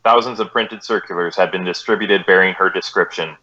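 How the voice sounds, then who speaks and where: An American man playing a terse, businesslike police detective, narrating a radio crime drama in clipped, deadpan, matter-of-fact phrases. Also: sits low, fairly quick. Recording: dull vintage radio drama recording.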